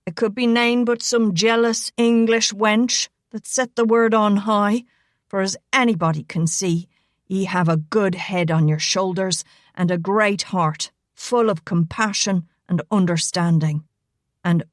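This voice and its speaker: A woman's voice: medium pitch, no room sound, studio quality, close to an everyday voice. A woman doing a teasing big Irishman. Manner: playful thick brogue, lilting.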